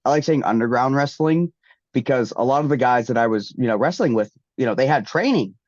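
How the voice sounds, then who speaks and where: A man's voice: medium pitch, lively intonation, a little fast, a little mellow, no echo. Congested man rambling with ums. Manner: rambling, many ums and restarts. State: congested, getting over the flu. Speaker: guest wrestler. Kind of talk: casual interview answers. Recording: dull, dry lo-fi sound.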